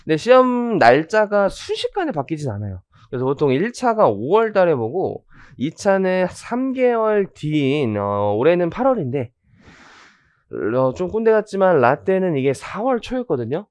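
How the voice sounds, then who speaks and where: Hoarse chatty man lecturing, lively pitch. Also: normal speed, dry recording. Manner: chatty, joking asides, many fillers. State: hoarse from rhinitis. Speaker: tax law lecturer. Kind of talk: casual orientation lecture.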